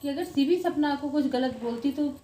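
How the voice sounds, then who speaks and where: Higher-pitched woman in an echoey room. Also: moderate pace. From India. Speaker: video blogger. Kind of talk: informal vlog commentary. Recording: echoey room with faint background noise.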